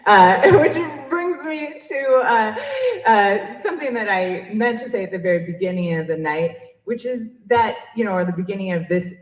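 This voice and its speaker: A woman is hosting a live event in an echoey room. Her pitch moves around a lot as she talks off the cuff. She is effusive and encouraging, leaning on key phrases for emphasis. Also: animated, normal speed. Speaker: emcee of an awards event. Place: public library event room, in front of an audience.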